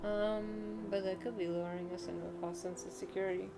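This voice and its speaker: A woman's voice: medium pitch, flat delivery, brisk, loud music behind the voice. A woman chatting casually as a video game streamer, musing and often letting thoughts trail off.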